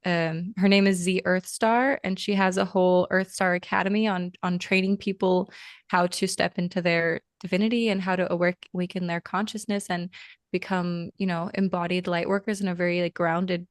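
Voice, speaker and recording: Guest: young woman raised in Lithuania and the US, smooth voice, dry close sound, earnest, rambling, lots of "um"s. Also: on the low side, little pitch movement, moderate pace, no room sound. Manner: earnest, meandering, many fillers. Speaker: podcast guest. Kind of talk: casual interview conversation.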